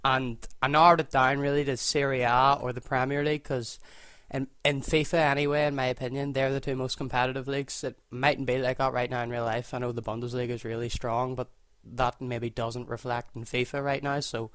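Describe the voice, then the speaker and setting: A man's voice: mid-range voice, fairly quick, hardly any pauses, clean tone, dry recording, clean studio sound. A man with a Northern Irish accent, talking casually through his choices as he sets up a football game, thinking aloud and often correcting himself mid-sentence. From Northern Ireland. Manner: relaxed, thinks aloud, self-correcting as he goes. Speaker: gaming video creator. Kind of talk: casual gameplay commentary.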